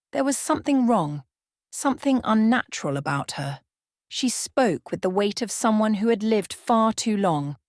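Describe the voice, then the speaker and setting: A woman narrating a scripted ASMR bedtime story in a measured, hushed dramatic reading, close to the microphone. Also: mid-range voice, no room sound. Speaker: storyteller, channel host.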